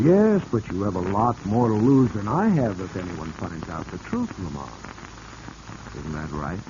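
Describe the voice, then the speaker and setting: An actor playing a smooth, insinuating, commanding male blackmailer in a 1940s radio drama. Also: low-pitched, lively intonation, moderate pace. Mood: smooth menace. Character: scheming male blackmailer, smooth, menacing, falsely sweet to women. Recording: muffled, noisy vintage 1940s radio recording.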